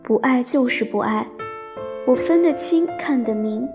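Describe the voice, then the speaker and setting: A woman playing a young, romantic, dreamy student in a radio drama, telling her love story in a soft, sentimental, unhurried way. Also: on the high side. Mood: tender, wistful. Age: young adult. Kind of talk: radio drama narration and dialogue. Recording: dull lo-fi recording with piano music. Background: piano music.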